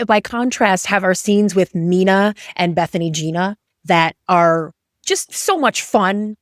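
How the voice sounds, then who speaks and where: A woman's voice: mid-range voice, no echo, studio quality. A woman hosting a podcast in a conversational style, commenting and putting questions to guests. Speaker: podcast host.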